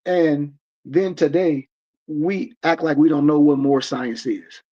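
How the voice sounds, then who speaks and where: American man, forties, preachy live discussion host, fairly high voice, dull stream audio. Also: takes it slowly, warm tone. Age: 40s. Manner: preachy and emphatic, many restarts. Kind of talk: livestream discussion.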